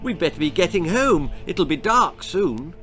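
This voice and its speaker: Eldest of a storybook gang, voiced by a man: British, decisive, plummy, bright delivery. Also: high-pitched, sing-song, measured pace, soft background music, pitched above the performer's own voice, full character voice. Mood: sensible, firm. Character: sensible, bossy eldest child of posh adventure gang.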